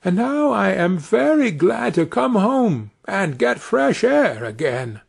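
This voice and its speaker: A man voicing a tiny, cheeky boy hero in a storybook, speaking in a high, eager, sing-song voice. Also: very animated, takes it slowly, creaky, husky, exaggerated voice. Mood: cheerful.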